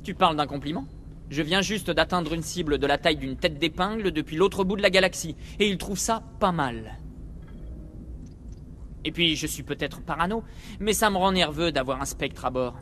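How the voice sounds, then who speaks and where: A man's voice: on the high side, normal speed, fairly bright tone, long reverb tail, slight background noise, close to an everyday voice. A man voicing a cocky, paranoid, grumbling military spaceship pilot in a video game. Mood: grumbling, sarcastic.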